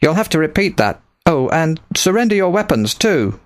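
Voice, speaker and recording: A man voices a well-mannered runaway hero in an audio story, lifted in pitch and closely recorded. He is earnest, breezy and a little naive. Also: big pitch swings, moderate pace, dry recording. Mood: polite, breezy. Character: polite, eager, naive male runaway adventurer.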